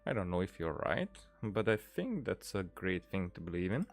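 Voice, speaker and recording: Low-pitched, animated gaming streamer. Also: normal speed. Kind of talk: casual game playthrough commentary, reading dialogue aloud. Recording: game music behind the voice.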